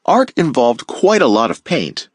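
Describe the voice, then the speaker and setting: A man teacher reading a children's picture book aloud with playful, expressive storytelling. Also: mid-range voice, a little slow, dry recording, clean studio sound.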